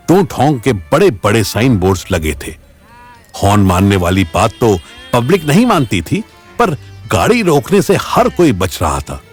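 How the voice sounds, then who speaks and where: A man narrating a scripted audio drama in a dramatic storytelling style. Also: fairly low pitch. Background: faint background music.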